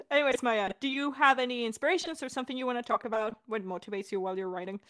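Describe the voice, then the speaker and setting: A woman's voice: on the high side, fairly quick, fairly bright tone. An enthusiastic woman speaking as a podcast director and co-host in a casual, chatty Q&A. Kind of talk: casual creator Q&A.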